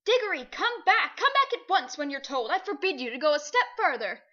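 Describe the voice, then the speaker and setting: Woman playing a blustering timid old man, shrill and scolding. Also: sing-song, fairly quick, pushed and bright, exaggerated voice. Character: timid fussy older man, blustering uncle. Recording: dull lo-fi recording.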